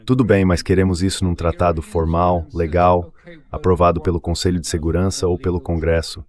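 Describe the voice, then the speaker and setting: Deep-voiced man giving political analysis. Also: level tone, brisk, darkish tone. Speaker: diplomat commenting. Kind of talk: translated voice-over of political analysis.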